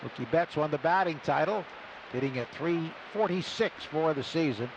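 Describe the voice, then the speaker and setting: Higher-voiced baseball announcer, man, noisy broadcast. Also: lively intonation, moderate pace, gravelly. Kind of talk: live radio sports commentary with sponsor reads. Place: stadium broadcast booth. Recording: noisy live ballpark radio broadcast.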